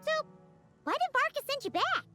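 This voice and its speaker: A woman voicing a small, cheeky, excitable fairy girl in an anime video game, squeaky, childlike and exclamatory. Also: normal speed, over music. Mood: curious, surprised. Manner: refers to self in third person, exclamatory.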